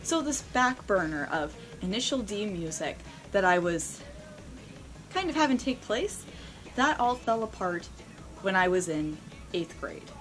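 Animated young woman telling a story. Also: medium pitch, measured pace, faint music behind. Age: young adult. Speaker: vlog host telling a personal story. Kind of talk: casual unscripted storytelling to camera. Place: small indoor room lined with shelves.

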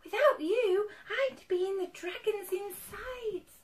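A woman voicing an excitable, kindly witch from a picture book with exclamatory delivery. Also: very slow, recorded from a distance, slight background noise, full character voice. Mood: relieved, grateful. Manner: exclamatory, high-pitched cries.

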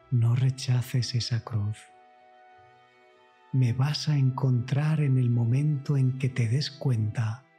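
Man, very slow pace, mellow dark tone, solemn reverent prayer reading, soft music behind. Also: medium pitch. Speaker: narrator of a guided prayer meditation. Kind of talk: devotional scripted reading, guided meditation.

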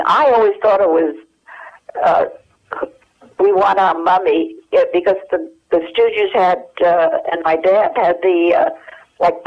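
An older woman reminiscing as an interview guest over a telephone line, with many pauses and restarts. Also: lowish voice. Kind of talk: casual phone interview.

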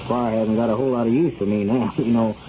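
An actor playing a sensible, friendly small-town neighbor, plain-spoken and incredulous in an old radio comedy serial. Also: male voice, mid-range voice, fairly even intonation, fast talker, warm tone, close to an everyday voice. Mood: concerned, reasonable. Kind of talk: 1930s-40s radio comedy serial acting. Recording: noisy, muffled old radio recording.

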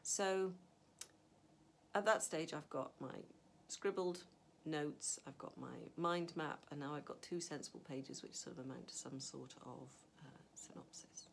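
A middle-aged woman with a low voice and a gravelly edge shares writing advice from her home study. She talks casually to the camera, thinking aloud with fillers and restarts. A faint hum sits under the recording. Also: unhurried. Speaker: novelist. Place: home study with a map on the wall.

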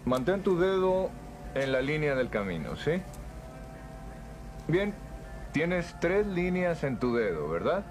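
A man dubbing a film role as an escaped male convict, talking softly and patiently to a boy like a father. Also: fairly high pitch, big pitch swings, takes it slowly, pauses often, soft background music. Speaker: dubbing actor. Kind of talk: film dub acting.

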